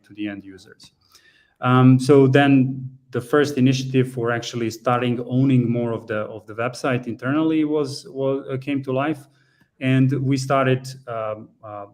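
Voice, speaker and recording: A man's voice: medium pitch, darkish tone. Man from serbia giving a meetup talk, lots of ums and restarts, mid voice. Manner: hesitant, many fillers and restarts. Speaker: guest speaker, frontend engineer. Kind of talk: conference talk presentation.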